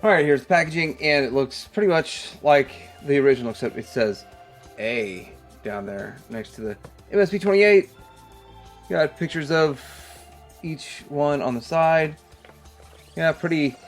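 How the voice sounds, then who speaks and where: A man's voice: a little high, sing-song, moderate pace, soft background music. A man hosting a casual hands-on toy review, rambling and thinking aloud as he goes. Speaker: toy review channel host.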